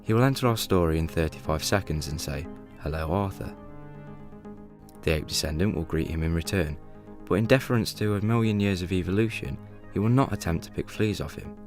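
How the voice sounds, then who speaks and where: Man performing wry guidebook narrator, very low pitch, measured and deadpan, radio play, music behind. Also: natural voice. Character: the Book, a wry all-knowing guide narrator. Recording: music bed under the voice.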